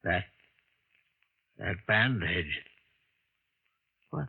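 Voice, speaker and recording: An actor voicing a frail, stern old bedridden grandfather in a 1940s radio drama, speaking in a gravelly, halting way. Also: low-pitched, animated, heavily acted. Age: older. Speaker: dying grandfather. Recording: tinny vintage radio broadcast.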